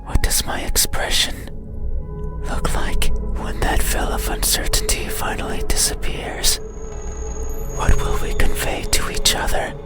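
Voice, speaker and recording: Male actor as whispering game creature: lonely, brooding, menacing, very slow and deliberate, loud music underneath. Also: lightly acted. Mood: unsettled, probing. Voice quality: breathy whisper. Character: lonely, brooding, menacing monster stalking a sleeper. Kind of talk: ASMR visual novel monologue. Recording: music behind the voice.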